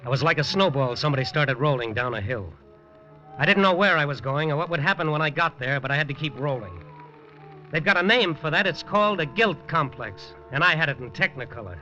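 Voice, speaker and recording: A hard-boiled reporter who narrates in a wry, clipped voice. His tone is bright and forceful, with faint music under a dull old radio broadcast. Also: fairly high pitch. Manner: wry, clipped noir delivery. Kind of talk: 1950s radio noir narration.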